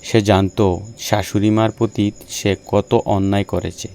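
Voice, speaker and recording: A man's voice: lowish voice, little pitch movement, measured pace, gravelly, a little mellow. A man narrating a scripted folk tale for a cartoon.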